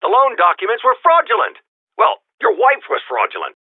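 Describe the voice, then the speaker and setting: Highly animated lawyer on telephone. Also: male voice, moderate pace, no room sound, full character voice. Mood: gleeful. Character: excitable, theatrical lawyer looking after his client. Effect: old telephone filter. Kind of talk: video game voice acting. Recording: narrow, tinny telephone line.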